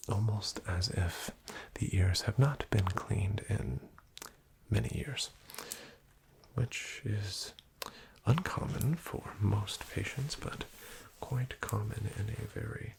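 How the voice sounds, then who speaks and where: Man, whispered ASMR doctor roleplay, formal, dryly ironic, low voice. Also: slow, creaky. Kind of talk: soft-spoken ASMR roleplay.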